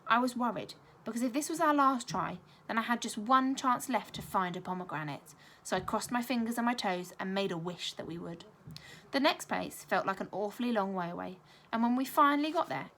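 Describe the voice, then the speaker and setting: A woman reading a children's story aloud like a primary school teacher, expressive and clearly paced. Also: on the high side, a little hiss or hum.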